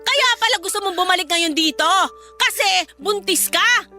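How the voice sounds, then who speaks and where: A very high, forceful voice over music: a scornful Filipino mother in a radio drama. She is middle-aged, bitter and confrontational, hammering out her accusations. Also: expressive, normal speed, exaggerated voice. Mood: furious. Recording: music behind the voice.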